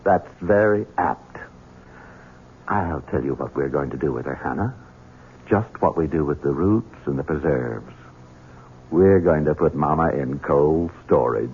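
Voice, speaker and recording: A man's voice: extremely low pitch, lively intonation, unhurried, slight background noise, full character voice. A man playing a scheming, sardonic Southern heir in a radio drama, with a drawling Southern accent. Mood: sardonic, commanding. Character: scheming Southern heir, a sly bachelor son. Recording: muffled old radio broadcast, music behind.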